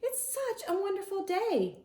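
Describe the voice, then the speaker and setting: A woman's voice: very high voice, huge pitch swings, unhurried, dark tone, echoey room, voice pushed up, heavily acted. A woman voicing a cheerful, concerned animal friend in a storybook, questioning and coaxing in a singsong way. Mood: puzzled, cheery.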